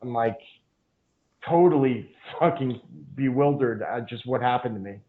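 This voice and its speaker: Male guest, middle-pitched, rambling conversational storyteller, narrow phone-quality line. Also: normal speed. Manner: rambling, frequent fillers and profanity. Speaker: podcast guest, jiu-jitsu instructor. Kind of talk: casual storytelling interview.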